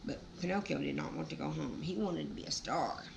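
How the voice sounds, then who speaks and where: Low-voiced lady reading a kids book, chatty asides, bit of gravel, noisy home recording. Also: expressive, fairly quick. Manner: folksy, exclaiming. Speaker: children's storyteller. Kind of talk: animated storybook read-aloud with chatty asides.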